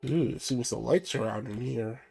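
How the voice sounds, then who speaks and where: Stuffy-voiced gravelly male streamer. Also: mid-range voice, moderate pace, dark tone, faint music behind. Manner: apologetic, thinks aloud while playing. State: stuffy from a cold. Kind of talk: casual gameplay commentary.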